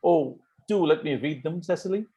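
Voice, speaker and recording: A man reading the part of a smitten, ardent young Victorian gentleman in an amateur table read of a stage comedy, wooing earnestly. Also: fairly high pitch, moderate pace, lightly acted. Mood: astonished, pleading.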